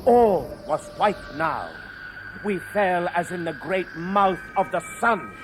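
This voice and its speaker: An actor playing an awed, haunted young spacefarer, narrating poetically and solemnly in a 1960s radio drama. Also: male voice, high-pitched, expressive, slow pace, over music. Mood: urgent awe. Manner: theatrical, solemn, incantatory. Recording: noisy 1960s radio recording.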